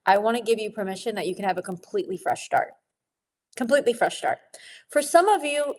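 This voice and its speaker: A woman coaching a women's group on their relationship with money in a gentle, encouraging teaching talk, sniffling a little and laughing often. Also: medium pitch.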